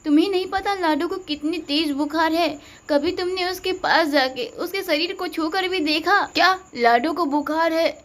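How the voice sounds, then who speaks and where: A woman voicing a fed-up village wife and mother in a storybook tale, speaking in a scolding, reproachful manner with a high voice. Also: normal speed, a bit bright.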